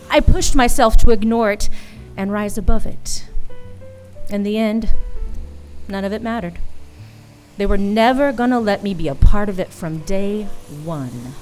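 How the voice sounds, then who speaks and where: A woman's voice: mid-range voice, darkish tone, natural voice. A woman performs a hardboiled lady private investigator from a noir radio play. She sounds bitter and worn down by the world, brooding in a mid-range voice. Music plays behind her. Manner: cynical, hardboiled, brooding delivery. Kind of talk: noir radio drama narration.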